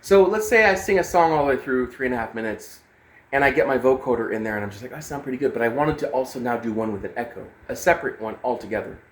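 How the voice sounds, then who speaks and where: A man's voice: mid-range voice, expressive, quick pace. A man giving a casual, unscripted music gear demo in a rambling, hesitant, sweary way. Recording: clear background noise.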